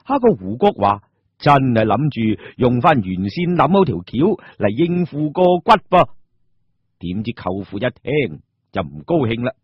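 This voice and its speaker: Animated husky Cantonese male storyteller. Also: medium pitch, moderate pace, no echo, lo-fi sound. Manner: expressive, theatrical. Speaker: narrator. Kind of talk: dramatic scripted storytelling narration.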